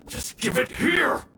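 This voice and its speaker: A man playing a gloating, sly bandit boss in a video game, taunting with theatrical, drawn-out threats. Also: slight background noise. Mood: panicked, indignant. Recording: echoey, distant, loud music bed. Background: game music.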